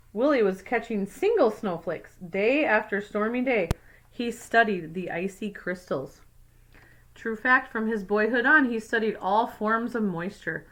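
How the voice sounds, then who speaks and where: A woman's voice: on the high side, a bit bright. A woman teacher reading a picture book aloud to young children, adding simple explanatory asides. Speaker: second grade teacher.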